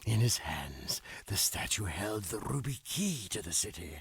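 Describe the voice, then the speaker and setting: An actor playing a sly, greedy underworld crime boss in a video game, with a thick gravelly rasp and a drawling, grumbling delivery. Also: male voice, lowish voice, sing-song, no echo, heavily acted. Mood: wistful, scheming. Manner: slow drawl, punctuated with questioning "eh?" tags. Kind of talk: video game cutscene acting.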